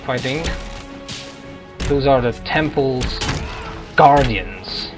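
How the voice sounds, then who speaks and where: A man giving casual video game commentary in a halting way, often correcting himself. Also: mid-range voice, expressive. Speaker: gameplay commentator. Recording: game music behind the voice. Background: video game music.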